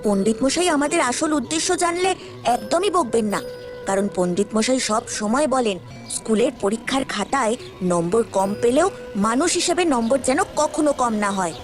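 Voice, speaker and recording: A woman's voice: fairly high pitch, lively intonation, normal speed, lightly acted. A woman voicing a plucky, loyal village child in a cartoon, with a bold and confident manner, recorded with music underneath. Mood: reassuring. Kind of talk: Bengali village cartoon dialogue.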